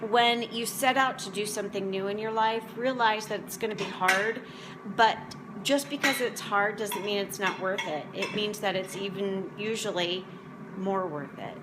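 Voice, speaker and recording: Woman, 40s, conversational vlog host, medium pitch, loose rambling chat, audibly noisy setting. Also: moderate pace. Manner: rambling, conversational, addressing viewers. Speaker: motivational vlog host and food prep coach. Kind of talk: casual chat to camera.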